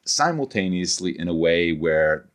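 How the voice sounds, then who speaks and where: Deep-voiced middle-aged man, reflective academic. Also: sing-song, a bit bright. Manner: reflective, halting, circles back and rephrases as he explains. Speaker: interview guest, humanities professor and author. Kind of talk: thoughtful long-form podcast interview.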